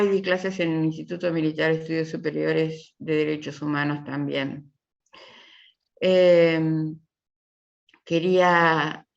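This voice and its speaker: A middle-aged Uruguayan woman teaches a class in a fairly low voice. She talks in a chatty, meandering way, often circling back on her phrases. The recording is muffled and low in fidelity. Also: gravelly. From Uruguay, from Tacuarembó. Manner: chatty, rambling, repeats phrases. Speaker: university professor. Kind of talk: informal online class introduction.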